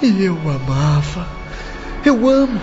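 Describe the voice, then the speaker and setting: High-voiced, anguished orphaned young prisoner. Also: male voice, sing-song, exaggerated voice. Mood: tearful grief. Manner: tearful, declamatory, slow dramatic delivery. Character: anguished imprisoned orphan son, bitter, grieving, self-pitying young man. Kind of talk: audiobook radio drama acting. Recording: dull muffled audio, music underneath.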